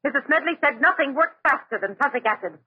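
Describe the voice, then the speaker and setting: An actress playing a bitter, penny-pinching wife who scolds and complains querulously in an old-time radio drama. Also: female voice, high-pitched, lively intonation, quick pace, dry recording. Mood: peevish, nagging. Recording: muffled, tinny vintage radio recording.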